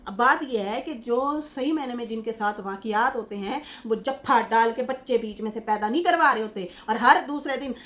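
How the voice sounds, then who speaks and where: A woman, talking as a commentary vlogger in an animated, scolding way and retelling what others said. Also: a little high, normal speed, hardly any pauses.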